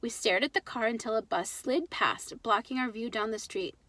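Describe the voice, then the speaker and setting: A woman reading a children's story aloud in an animated storytelling style. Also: a little high, normal speed, dry recording. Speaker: children's book reader.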